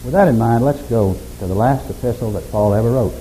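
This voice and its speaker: A man preaching a sermon in a folksy, unhurried way, with self-deprecating humor and a monotonous, mellow voice. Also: mid-range voice, slight background noise, low-quality recording. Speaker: pastor.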